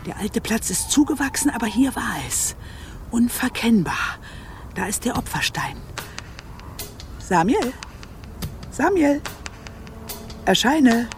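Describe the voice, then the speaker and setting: A woman's voice: on the high side, expressive, slow, long pauses, soft background music. A woman acting a haughty, scheming, irritable witch in a radio play, imperious and scornful. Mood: uneasy, summoning.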